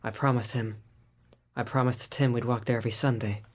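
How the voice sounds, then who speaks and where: Man as mourning father; soft, tender consoling tone, radio drama. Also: medium pitch, steady tone, brisk, lightly acted. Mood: grieving. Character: grieving gentle father, a clerk. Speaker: Bob Cratchit.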